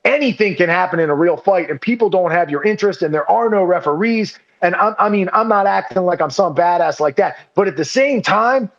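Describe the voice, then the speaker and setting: A man talking loosely on a podcast, his voice sitting high for a man. He swears easily, leans on "dude" and "like," and laughs at himself as he rambles. The sound is dull and a little lo-fi. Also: animated, a little fast, few pauses. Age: under 40. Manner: swears freely, says "dude" and "like" constantly. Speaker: podcast guest. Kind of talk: casual conversational chat.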